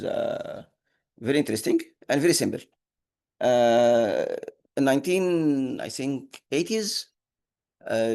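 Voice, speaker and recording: A man whose first language is Arabic, teaching an online programming class, halting with many fillers and restarts. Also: mid-range voice, creaky, darkish tone. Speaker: instructor. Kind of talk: online lecture.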